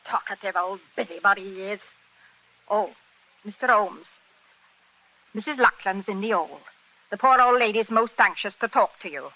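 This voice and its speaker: An actress playing a brisk, sharp-tongued housekeeper at a village inn in a 1940s radio drama. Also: female voice, medium pitch, lightly acted. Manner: scolding, disapproving. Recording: dull lo-fi 1940s radio recording.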